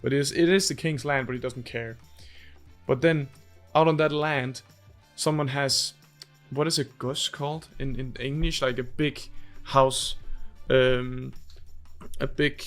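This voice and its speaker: Young Danish guy, gravelly, rambly casual host, restarts a lot, faint music bed. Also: mid-range voice, steady tone, measured pace. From Denmark. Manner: rambling, searches for English words, many restarts. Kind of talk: casual movie review.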